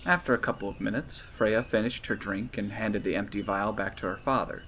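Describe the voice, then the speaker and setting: A man's voice: lowish voice, steady tone, a little fast, a bit bright, slight background noise. A man reading his own fantasy novel aloud as scripted audiobook narration.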